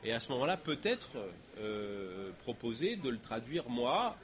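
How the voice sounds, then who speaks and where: A man's voice: mid-range voice, big pitch swings, measured pace, a crowd in the background. Hesitant man answering questions at a lecture. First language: French. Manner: hesitant, many fillers and restarts. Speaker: guest speaker. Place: lecture hall.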